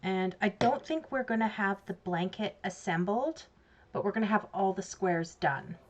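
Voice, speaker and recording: A woman's voice: mid-range voice, normal speed. A woman with a Canadian accent chatting casually about her crafting projects, giggly and self-mocking. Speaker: crafts vlogger. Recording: slightly dull lo-fi sound.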